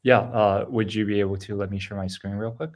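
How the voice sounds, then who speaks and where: A man's voice: on the low side, fairly even intonation, brisk. A man presenting his game project on a video call, talking loosely with many ums and restarts. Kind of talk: casual online presentation.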